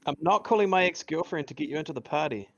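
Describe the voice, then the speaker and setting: A man's voice: a little high, lively intonation, quick pace, vocal fry, fairly bright tone, muffled recording, pitched above the performer's own voice. A man reading the lines of a moody, grumbling young man for an amateur table read.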